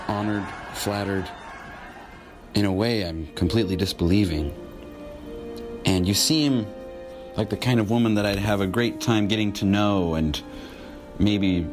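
A man plays a lovelorn, dreamy gentleman in a radio comedy. He is earnest and heartfelt, speaking formally in a low voice with music behind. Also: close to an everyday voice.

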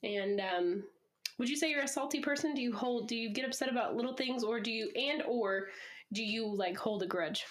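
A woman's voice: on the high side, normal speed. A young woman hosting a casual podcast, slightly wheezy from a recent illness, chatting and laughing often. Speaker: podcast host. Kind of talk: casual chat. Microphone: headphones, podcast mic.

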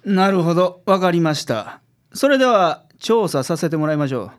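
A man's voice: high voice, moderate pace. A man as a dry Kansai detective boss. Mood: pensive, dry. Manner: formal with clients, dry deadpan asides. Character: dry, unflappable male private detective boss. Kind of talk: comic radio drama acting.

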